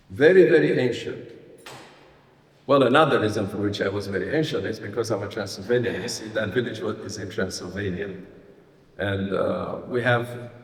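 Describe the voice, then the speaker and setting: Middle-aged male priest, wry and halting, speaking from a distance in an echoing hall. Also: fairly low pitch. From Romania. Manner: self-deprecating, wry, halting with fillers. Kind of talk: informal retreat lecture. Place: large hall.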